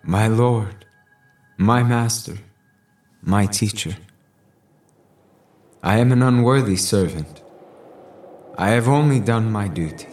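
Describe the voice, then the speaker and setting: A man's voice: lowish voice, slow, pauses often, darkish tone. A man playing a serene, steadfast apostle in a religious audio drama, solemn and devout in manner. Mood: solemn surrender. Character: serene male apostle, steadfast and pious, facing martyrdom. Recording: music playing under the voice.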